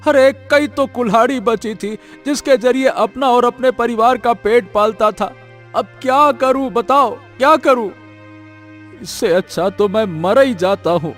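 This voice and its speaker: A man playing a poor, honest, despairing woodcutter who laments in an animated moral story. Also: very high-pitched, measured pace, soft background music.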